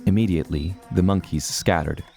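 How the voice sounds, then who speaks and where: A man's voice: sits low, brisk, vocal fry, darkish tone, soft background music. An actor playing an earnest seafaring adventurer who tells his tale in formal, old-fashioned language. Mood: earnest storytelling. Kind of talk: scripted storybook narration.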